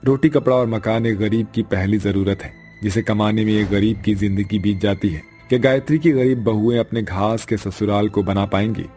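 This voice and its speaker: A man with a fairly low voice narrates a scripted cartoon tale in a dramatic storytelling style. Music plays behind him throughout. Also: moderate pace, hardly any pauses, dark tone.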